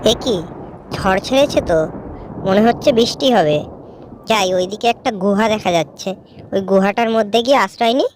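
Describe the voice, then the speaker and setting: A woman's voice: medium pitch, brisk, pauses often, noisy background, lightly acted. A woman acting a young village sister in a cartoon folk tale. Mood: worried, meek. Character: two village sisters, one sweet, one spiteful.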